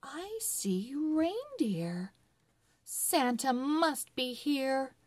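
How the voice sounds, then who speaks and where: Storybook little girl read by a woman: eager, wistful, emphatic, very slow, raised pitch. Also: very animated, a little mellow, full character voice. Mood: delighted.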